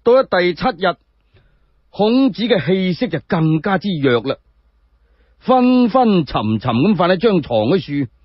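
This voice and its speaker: A man's voice: high-pitched, big pitch swings, measured pace. A man with a Cantonese accent telling a story solo in a dramatic narrating style. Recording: dull, echo-free muffled recording.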